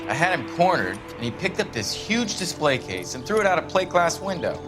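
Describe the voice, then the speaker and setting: Talky american 40s patrolman in a game, kinda high voice, wry, music in the back. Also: sing-song, a little fast. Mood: recounting. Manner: colloquial, matter-of-fact storytelling. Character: chatty, wry male patrol cop in 1940s America. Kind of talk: dramatic video game acting, 1940s crime drama.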